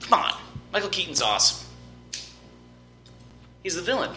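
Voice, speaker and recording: A young man chatting casually with friends, excitable and enthusiastic, swearing freely. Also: high voice, moderate pace, soft background music. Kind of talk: casual chat among friends.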